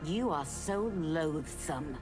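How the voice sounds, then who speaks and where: An actress playing a grave, scornful warrior woman in a video game, solemn and deliberate. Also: low-pitched, lively intonation, over music. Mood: disgusted.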